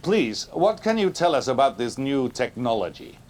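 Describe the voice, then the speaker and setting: An actor playing an authoritative Soviet leader in a game cutscene, formal and commanding, with a Russian accent. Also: male voice, mid-range voice, very animated, moderate pace, a little mellow, close to an everyday voice. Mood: stern. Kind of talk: dramatic game cutscene acting.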